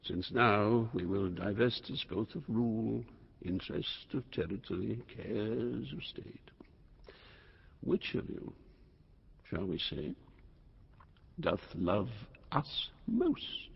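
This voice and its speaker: Gravelly old king, grandly volatile. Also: on the low side, sing-song, full character voice. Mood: grand, ceremonial. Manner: grand, theatrical, deliberate, commanding. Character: aging proud king and father, imperious and volatile. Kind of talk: classical Shakespearean stage acting. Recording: muffled, reverberant hall sound.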